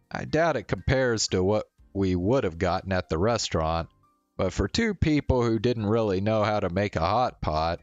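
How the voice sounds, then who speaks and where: A man reading a visual novel aloud as first-person narration, in a measured, conversational way. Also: low voice, dry recording, lo-fi sound. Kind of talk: first-person story narration with acted dialogue.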